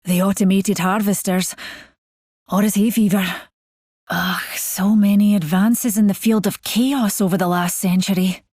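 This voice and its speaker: A woman's voice: medium pitch, fairly even intonation, a little slow, a little mellow, dry recording, natural voice. Woman doing rambly old scientist lady, fond and dreamy, dry sound. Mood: wistful. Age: older. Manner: rambling, wistful, chatty. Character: chatty older woman scientist, whimsical and nostalgic.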